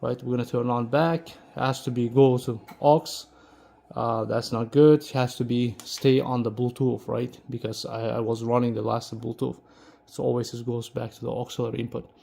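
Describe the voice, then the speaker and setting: A man who speaks English as a second language gives a casual, unscripted product demo as a video host, talking haltingly and checking in with short tag questions. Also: medium pitch, flat delivery, gravelly, dark tone. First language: not English. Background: music playing, amplifier fan.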